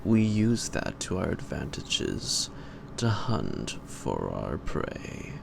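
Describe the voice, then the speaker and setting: A man playing a suave, psychotic gentleman vampire in an audio roleplay, pompous, taunting and predatory, with drawn-out and sometimes whispered delivery. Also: huge pitch swings, exaggerated voice. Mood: menacing, condescending. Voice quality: very deep, gravelly. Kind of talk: theatrical roleplay monologue to the listener. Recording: noisy amateur home recording.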